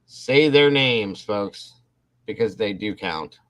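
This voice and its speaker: A man's voice: on the low side, animated, slow, a bit bright. A man hosting a casual livestream, rambling and restarting his sentences as he talks to his viewers. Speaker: livestream host. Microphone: computer mic on a livestream.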